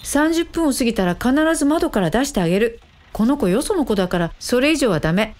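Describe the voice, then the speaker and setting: Actress as bossy, upbeat woman matchmaker, lively high voice. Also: fairly quick. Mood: brisk, instructive. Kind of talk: radio drama acting.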